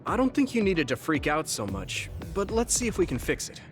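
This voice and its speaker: Kind level-headed young anime game hero, highish lively voice, bit of music under it. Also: male voice, fairly quick. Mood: kind, calm.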